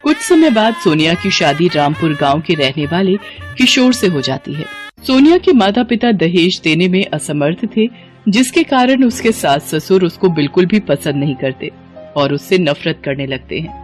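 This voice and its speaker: A woman's voice: lowish voice, big pitch swings, normal speed. A woman narrating a scripted moral tale in a dramatic storytelling style. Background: background music.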